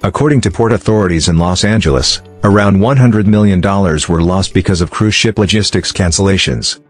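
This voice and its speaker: A man reading a scripted explainer narration in a measured, formal way. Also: deep voice. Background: background music.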